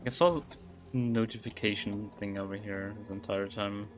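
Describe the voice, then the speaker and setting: Deep-voiced man casually streaming a game. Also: steady tone, normal speed, background noise. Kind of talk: casual live gameplay commentary.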